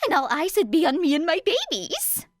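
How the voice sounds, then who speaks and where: A woman voicing an excitable, boastful teenage girl inventor in an audiobook, exuberant and dramatic. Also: extremely high pitch, moderate pace, dry recording, studio quality, pitched above the performer's own voice, full character voice. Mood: sly, challenging.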